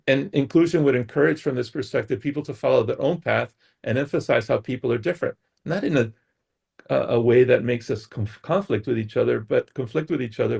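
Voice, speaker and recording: A man's voice: medium pitch, creaky, a little mellow, breathy edge. A middle-aged man from the United States, a guest expert explaining ideas on a talk show and often checking in with "right?".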